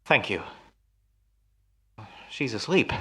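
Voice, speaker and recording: Smoothly menacing husband played by a male actor, animated swooping delivery. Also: medium pitch, slow, heavily acted. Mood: concerned. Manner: teasing, theatrical musing. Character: jealous husband, smoothly menacing, playfully taunting his wife. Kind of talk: radio play acting.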